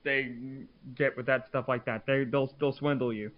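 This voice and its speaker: A man's voice: medium pitch, fairly even intonation, moderate pace. A man co-hosting a podcast, chatting casually. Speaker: podcast co-host. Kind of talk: casual chat. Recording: dull lo-fi mic.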